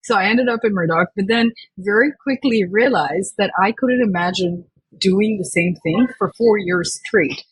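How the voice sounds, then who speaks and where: A young woman from Dubai with a very husky, breathy voice talks as a podcast guest. She tells her story in a chatty, reflective way at an average pitch. The sound is close and dry. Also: normal speed, no room sound, poor audio. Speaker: guest, company founder. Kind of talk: podcast interview.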